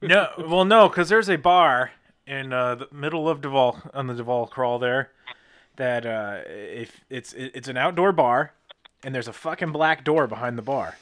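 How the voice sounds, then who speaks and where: A man's voice: mid-range voice, moderate pace, a bit bright. A middle-aged man co-hosting a podcast, telling long profane stories in casual chat. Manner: profane, rambling storytelling. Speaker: podcast co-host.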